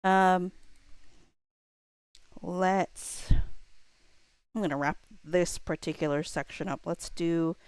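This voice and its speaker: Low-voiced woman chatting casually on stream. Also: fairly even intonation, takes it slowly, pauses often, clear, resonant tone, dry recording. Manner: rambling, self-deprecating, informal slang. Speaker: livestreamer. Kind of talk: casual livestream chat.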